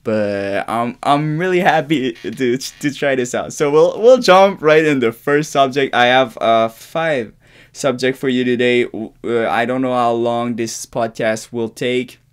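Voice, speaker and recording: A man's voice: medium pitch, measured pace, clear, resonant tone. A man in his early twenties from Quebec with a Québécois French accent, a native French speaker hosting his own podcast in English, rambling casually with restarts and swearing, recorded in his bedroom. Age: 20s. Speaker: podcast host. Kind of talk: unscripted solo podcast monologue. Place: his bedroom in a shared apartment.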